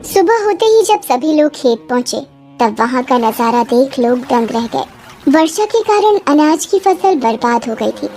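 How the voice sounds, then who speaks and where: A woman's voice: high voice, big pitch swings, normal speed, dark tone, a little hiss or hum. A woman reading scripted, dramatic story narration.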